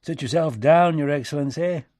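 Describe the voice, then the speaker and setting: A man's voice: a little high, moderate pace, a little mellow, no room sound, studio quality, pitched above the performer's own voice, natural voice. A man playing a weary, direct, impatient American president with a rustic accent in an audiobook. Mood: folksy, calm. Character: Abraham Lincoln, tall weary American president, plain-spoken and brisk.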